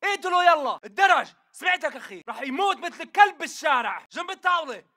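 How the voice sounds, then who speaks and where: An actor voicing a hostile militant fighter in a video game, barking urgent combat callouts in Levantine Arabic. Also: male voice, very high-pitched, very animated, fast, sharp tone, heavily acted. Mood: aggressive, urgent. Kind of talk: shouted video game battle chatter.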